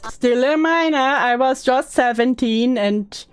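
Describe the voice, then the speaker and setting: A woman's voice: high-pitched, slow, clear, resonant tone, soft background music. A woman doing sarcastic reaction commentary in a mocking, blunt, sweary manner. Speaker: commentary video host. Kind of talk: sarcastic reaction commentary, mixing read-aloud posts with asides.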